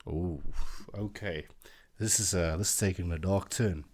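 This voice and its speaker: A man's voice: low-pitched, measured pace, darkish tone, slightly rough. A man, an Afrikaans speaker, hosting a casual reaction video and thinking aloud with lots of fillers.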